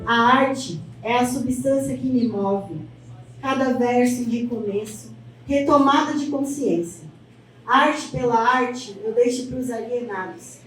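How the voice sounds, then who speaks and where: Poeta brasileira em gravação muito ecoada. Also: female voice, on the high side, takes it slowly, faint music behind. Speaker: slam poet. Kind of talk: spoken word poetry declamation. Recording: echoey, distant mic, faint noise.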